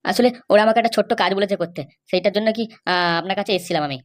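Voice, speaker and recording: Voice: medium pitch, natural voice. A performer voicing an anxious young woman in a cartoon comedy, pleading and insistent. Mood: hesitant. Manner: pleading, insistent, halting starts. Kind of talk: amateur cartoon comedy dialogue.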